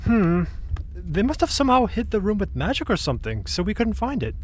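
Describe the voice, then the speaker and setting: A woman's voice: mid-range voice, sing-song, a little fast, a little mellow, a little hiss or hum, lightly acted. A woman playing an easygoing young guide to folklore spirits, casual and wry, in an audio drama. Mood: wry, thoughtful. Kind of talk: audio drama character acting.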